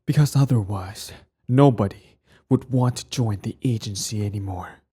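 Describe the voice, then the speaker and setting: A man playing a cold, sardonic villain with secret tenderness in an intimate ASMR roleplay, his voice low, husky and breathy. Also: dark tone. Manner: slow deliberate delivery, sardonic, condescendingly affectionate. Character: cold, mocking male villain, protective ex-hero, secretly tender.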